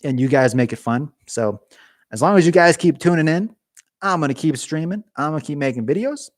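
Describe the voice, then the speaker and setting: A man's voice: fairly high pitch, animated, fairly quick, dry recording, studio quality. A young man chatting casually on a live stream from his garage, rambling and joking with viewers, his voice getting worn. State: losing his voice.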